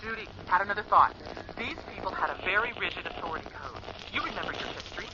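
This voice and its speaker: A woman's voice: lowish voice, big pitch swings, fast. An actress playing an earnest, analytical spaceship crewwoman in a radio drama, heard through a radio filter. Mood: thoughtful, explanatory. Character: Margot, earnest analytical female spaceship crew member from the future. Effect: radio transmission filter. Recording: muffled, noisy radio transmission.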